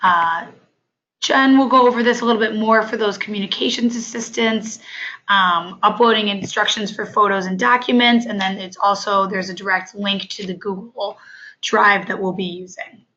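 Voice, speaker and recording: A hoarse woman coordinator walks trainees through a webinar, her tone bright and forward in spite of the sickness. She talks haltingly, with plenty of fillers between phrases. The sound is dull and lo-fi, like a computer mic on a call. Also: mid-range voice, fairly even intonation. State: hoarse from illness. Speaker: off-campus study coordinator.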